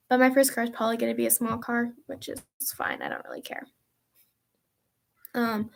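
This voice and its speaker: A woman's voice: on the high side, creaky, fairly bright tone. A teenage girl in a casual vlog, answering viewer questions in a chatty, rambling way with lots of ums and likes. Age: teens. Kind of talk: casual chat.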